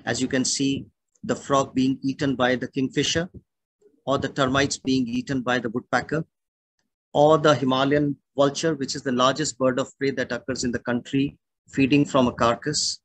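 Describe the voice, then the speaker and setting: A middle-aged man with an Indian accent, a naturalist giving a measured, explanatory talk over a video call. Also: medium pitch, level tone, fairly bright tone, no room sound, low-quality recording. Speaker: naturalist and birding guide. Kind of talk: online illustrated talk. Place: indoor room at home or office, on a video call. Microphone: video call microphone.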